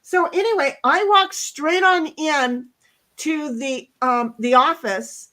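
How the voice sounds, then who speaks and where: A middle-aged woman with a loud voice and a fairly high pitch tells a story in a casual chat. Her manner is blunt and animated, with swearing mixed in. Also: takes it slowly. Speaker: co-host.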